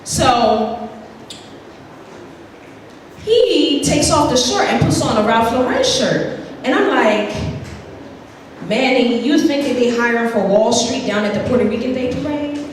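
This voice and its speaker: A woman's voice: on the high side, lively intonation, measured pace, long pauses, slight background noise. Sassy puerto rican lady played by a woman, wry storytelling, big echoey hall from far away. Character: sassy Puerto Rican woman telling about her brother. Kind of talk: stage monologue.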